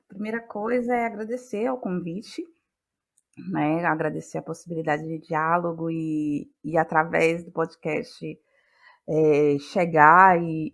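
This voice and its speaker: A woman with a fairly low voice and a Bahian Brazilian accent speaks as an interview guest. She talks conversationally and reflectively, circling back and repeating phrases as she builds her answers. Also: fairly bright tone. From Salvador, Bahia, Brazil. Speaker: guest, playwright and actress.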